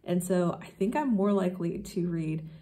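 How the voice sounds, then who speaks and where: A woman with a dark, relaxed tone and a slightly low pitch speaks as a book reviewer. Her delivery is casual and unscripted, like chatting to a camera. She rambles a little, tentatively reworking her phrasing. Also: normal speed.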